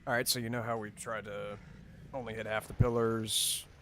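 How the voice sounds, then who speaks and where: A man leading a video game raid over voice chat, giving clear step-by-step instructions to his group. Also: on the low side, flat delivery, normal speed, slight background noise. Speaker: raid leader and streamer. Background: loud game music.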